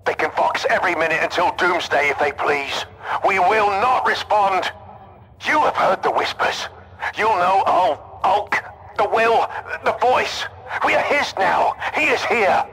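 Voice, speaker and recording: An actor playing a crazed, defiant corrupted officer in a video game, with a harsh raspy voice and a fanatical, halting delivery. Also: male voice, sits high, expressive, pushed and bright, a little hiss or hum, full character voice, poor audio. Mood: fanatical defiance. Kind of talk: video game villain acting.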